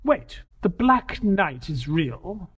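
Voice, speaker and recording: Earnest detective's companion and narrator played by a male actor, high husky voice, audio-drama storytelling. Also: very animated, extremely slow, a bit bright, full character voice. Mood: curious.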